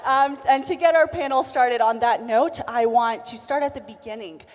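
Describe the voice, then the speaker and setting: A woman's voice: a little high, normal speed, noisy background. A woman moderating a conference panel, introducing each guest in a friendly way with a few fillers. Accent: American Southern. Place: conference hall. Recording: long reverb of a large hall.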